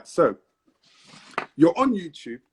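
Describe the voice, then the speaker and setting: A man's voice: fairly high pitch, very animated, slow pace, darkish tone. A man hosting a live-streamed interview, upbeat and hyping up the audience between questions. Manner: upbeat hype, repeats prompts to the audience. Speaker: host.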